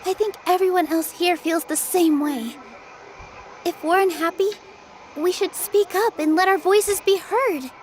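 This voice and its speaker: A gentle young dancer heroine from a video game, with a high, girlish pitch. The manner is sincere and modest, with quiet determination. Faint noise sits behind the voice. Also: measured pace. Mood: determined. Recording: faintly noisy game capture.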